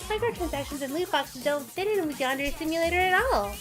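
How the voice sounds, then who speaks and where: A woman puts on a stressed, grumpy man from a visual novel, lower than her own voice and faintly strained in tone. He scolds and talks down to the girl. Faint music plays behind. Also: expressive, fast talker. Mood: condescending. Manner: condescending, scolding. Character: stressed, grumpy male game developer. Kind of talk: voicing a visual novel character.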